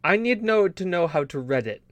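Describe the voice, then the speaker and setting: A man's voice: sits high, expressive, brisk, no room sound. A man co-hosting a casual gaming podcast, chatting in a rambling, joking way with repeated and restarted phrases. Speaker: podcast co-host.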